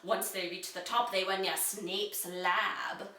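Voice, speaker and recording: A woman giving a deliberate, dramatic reading of an amateur children's fantasy story, like a storyteller. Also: mid-range voice, steady tone, sharp tone, recorded from a distance. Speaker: reader. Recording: echoey room, away from the mic.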